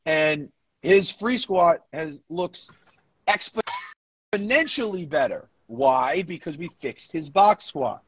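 A man's voice: a little high, animated, slow, husky, no room sound. A man co-hosting a casual sports podcast as a coach, emphatic and given to swearing and repeating his phrases. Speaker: podcast co-host, powerlifting coach.

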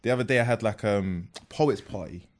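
A man, a podcast guest telling quick, slangy stories in casual chat. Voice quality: deep voice.

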